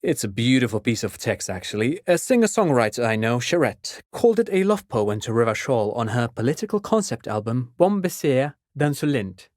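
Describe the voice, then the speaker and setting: A man's voice: medium pitch, big pitch swings, moderate pace, hardly any pauses, darkish tone, dry recording, clean studio sound, lightly acted. An actor playing a pedantic, absent-minded amateur scholar in a video game, an enthusiastic father who explains things eagerly and wanders into digressions. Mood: enthusiastic explaining. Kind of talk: video game character dialogue.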